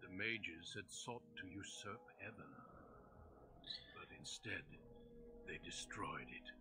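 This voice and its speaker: An actor playing a grave, weary veteran warrior, solemnly narrating a fantasy game prologue in a measured, portentous manner. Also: male voice, low voice. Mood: grave, foreboding. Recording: loud music underneath. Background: loud cinematic game music.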